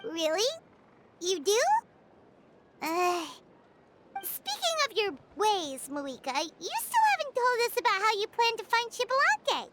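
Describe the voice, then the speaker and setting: A woman's voice: wildly swooping pitch, unhurried, pauses often, very noisy, heavily acted. A woman voicing a tiny, chirpy, greedy floating girl companion in a game, who talks about herself in the third person. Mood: curious. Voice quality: very high squeaky voice. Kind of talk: game voice acting. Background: game music.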